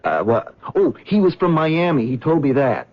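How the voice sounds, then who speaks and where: A jittery cab driver who stammers, apologizes and rambles, with a lively, rising and falling voice. The sound is dull and lo-fi, like an old radio broadcast. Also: male voice, fairly high pitch, normal speed. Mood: nervous. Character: nervous, talkative male cab driver. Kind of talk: 1970s radio drama acting.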